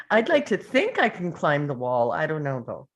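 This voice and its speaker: A woman's voice: sits low, wildly swooping pitch, moderate pace. A woman chatting casually in a group, with a light, laughter-prone way of talking.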